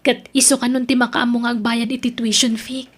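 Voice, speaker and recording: A woman's voice: a little high, flat delivery, a little fast, natural voice. A woman with an Ilocano accent acting in an audio drama as a young student, an evasive and defiant girlfriend. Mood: evasive, explaining.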